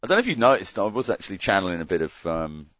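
An Australian man co-hosting a casual podcast chat, ranting in animated mock disgust. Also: sits low, racing, no echo, highs cut off. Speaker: podcast co-host.

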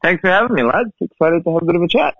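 A man's voice: high-pitched, racing, gravelly, breathy edge, dry recording. Irishman in his thirties on a tinny call line. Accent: Irish, softened by years in the US. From Ireland. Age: 30s. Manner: relaxed, chatty, laughs while telling stories. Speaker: guest, field hockey coach. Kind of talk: casual interview storytelling. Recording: tinny phone-like line, dry.

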